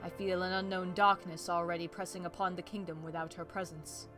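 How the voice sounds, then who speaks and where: A woman's voice: on the low side, flat delivery, brisk, natural voice. A woman playing a worried, regal pony princess of the night in a cartoon comic dub, speaking in formal, archaic royal diction. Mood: solemn, formal. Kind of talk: fan comic dub acting. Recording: music behind the voice.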